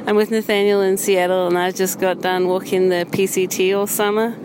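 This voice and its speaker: A woman from New Zealand leaves a hesitant, friendly voice message. Her pitch stays level, and clear outdoor noise runs behind her. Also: flat delivery, brisk. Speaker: listener sending a recorded greeting. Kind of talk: casual voice message. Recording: noisy outdoor phone recording.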